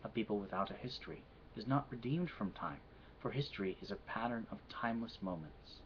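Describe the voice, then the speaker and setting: A measured, soft-spoken man with a Midwestern accent, his voice sitting a little low. He pauses with hesitant "um"s between casual answers and reads a poem slowly. The home recording is quiet, dull and slightly lo-fi. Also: expressive, a little hiss or hum. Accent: Midwestern American. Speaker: vlogger answering an accent challenge. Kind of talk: casual answers and slow poetry reading.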